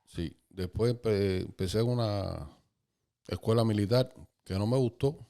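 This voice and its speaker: Cuban guy in his 50s, low warm voice, takes his time telling old stories. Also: creaky. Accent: Cuban Spanish. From Cuba. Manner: calm, unhurried, recalling dates and places. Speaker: guest. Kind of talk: casual interview storytelling.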